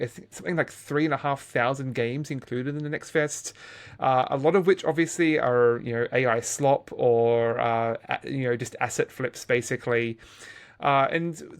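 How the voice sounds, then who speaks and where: A man with an average-pitched voice speaks as a podcast co-host in relaxed, informal conversation. He rambles a little, doubling back on words as he builds each thought. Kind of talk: casual chat about video games.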